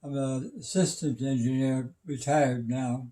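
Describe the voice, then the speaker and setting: An older man in his seventies explaining things casually, in a halting, stammering, matter-of-fact way. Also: medium pitch, steady tone, warm tone. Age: 70s. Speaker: retired systems engineer and radio hobbyist.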